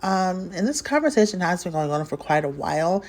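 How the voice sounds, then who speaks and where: A woman gives her views in a casual commentary vlog, chatty and opinionated. She fills her talk with "um" and "like". Her voice sits low for a woman. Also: big pitch swings, fairly quick, a little mellow. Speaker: video host.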